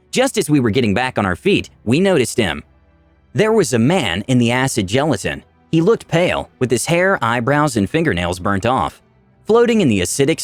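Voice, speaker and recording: A man giving a dramatic, expressive first-person scripted narration of a fantasy tale. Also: medium pitch, no echo. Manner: expressive storytelling with polite quoted dialogue. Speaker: story narrator.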